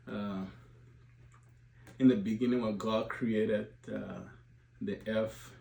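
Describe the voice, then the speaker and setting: A man, addressing his organization's supporters in an unscripted talk, pausing often with filler sounds and restarts. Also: on the low side, creaky, warm tone. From Liberia. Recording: roomy echo, faint background hum.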